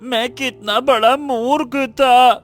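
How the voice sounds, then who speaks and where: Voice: high-pitched, steady tone, slow pace, a bit bright. A performer voicing Raju, an impulsive, emotional young son in a cartoon moral story, often repeating words. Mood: remorseful. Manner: stammering, repeats words when anxious. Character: Raju, greedy boastful young man turned desperate, remorseful son.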